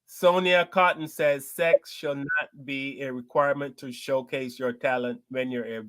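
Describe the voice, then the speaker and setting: A man's voice: mid-range voice, fairly even intonation, unhurried, bright, forceful tone, no echo. A man, a guest comedian talking casually on a panel show and animatedly acting out exchanges as he makes his points.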